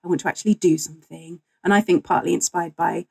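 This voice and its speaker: A woman's voice: on the low side, fairly even intonation, fast talker, gravelly, darkish tone, husky. A woman speaking as a guest author and historian, explaining things in a casual, informal, slightly wry way.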